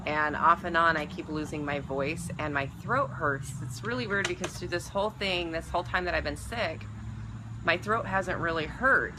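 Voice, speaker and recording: A woman's voice: on the low side, moderate pace, noisy background. A woman chatting casually with livestream viewers from her backyard, teasing her partner. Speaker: livestream co-host.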